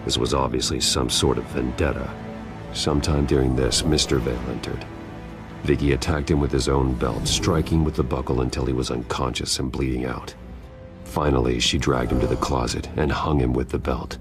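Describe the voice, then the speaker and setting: Brooding crime-fighter for a video game: rock-bottom pitch, heavy gravel, protective, measured delivery. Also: male voice, fairly even intonation. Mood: grim, focused. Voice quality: gravelly, very deep growl. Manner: terse, deliberate. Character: grim masked male vigilante detective, protective. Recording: music behind the voice.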